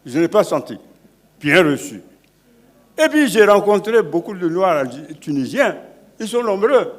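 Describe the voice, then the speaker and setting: Older man from Guinea, panel elder: fervent platform orator, fairly high voice. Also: very animated, unhurried. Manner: oratorical, building to forceful declarations. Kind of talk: impassioned speech at a public panel.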